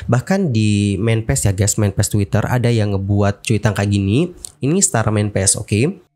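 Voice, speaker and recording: A man's voice: fairly low pitch, lively intonation, a little slow, warm tone. A man in his twenties with an Indonesian accent, narrating celebrity news in a casual, chatty vlog style and talking straight to his viewers. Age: 20s. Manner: chatty, addresses viewers as guys. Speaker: K-pop news YouTuber.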